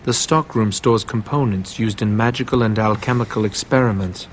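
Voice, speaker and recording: An actor playing a terse mage storekeeper in a video game, speaking formally and officiously. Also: male voice, fairly low pitch, normal speed, a little hiss or hum, natural voice. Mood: brisk, officious. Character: terse, formal male mage stockroom keeper.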